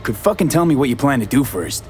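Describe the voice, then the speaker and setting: An actor playing a streetwise, sardonic young mercenary with an American accent in a video game. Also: male voice, medium pitch, animated, quick pace, darkish tone, faint music behind, close to an everyday voice. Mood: defiant.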